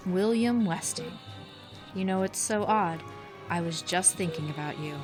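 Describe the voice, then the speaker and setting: A woman playing a cool, smooth-talking rival villain, languid and mock-polite, in an audio drama. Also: fairly low pitch, unhurried, natural voice, rough recording. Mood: coolly mocking. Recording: music under the voice.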